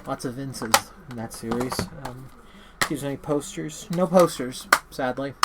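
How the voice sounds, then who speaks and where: A man's voice: mid-range voice, a little slow, heavy background noise. A man hosting a casual, unscripted review, rambling and often stammering and interrupting himself as he talks. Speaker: host of a horror movie review channel.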